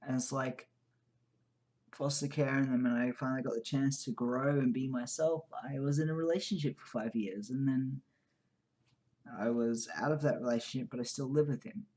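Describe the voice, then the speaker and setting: A man's voice: mid-range voice, steady tone, normal speed, darkish tone, poor audio. A man in his twenties vlogging in a casual, rambling and candid way, often laughing at himself. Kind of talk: casual personal chat to camera. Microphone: new microphone, quiet level.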